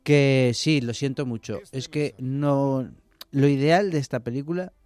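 A man's voice: mid-range voice, a little slow, a little mellow, clear, resonant tone. A man with a Madrid accent, a conductor and violinist chatting casually as a radio guest, laughing easily as he explains. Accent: Madrid Spanish.

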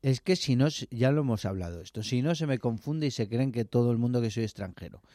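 Madrid guy chatting on radio, conductor type, laughs a lot, warm mellow voice, dry studio. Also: mid-range voice, quick pace, dry recording. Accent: Madrid Spanish. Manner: chatty, laughs often, relaxed explanations. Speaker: conductor and violinist, studio guest. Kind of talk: casual radio chat.

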